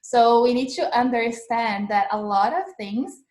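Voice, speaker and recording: A woman's voice: on the high side, a little slow. Chatty woman teaching English. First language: Portuguese. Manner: chatty, animated, gestures a lot while explaining. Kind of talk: live-streamed English lesson. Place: small indoor room, webcam in front of a plain wall. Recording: echoey room sound.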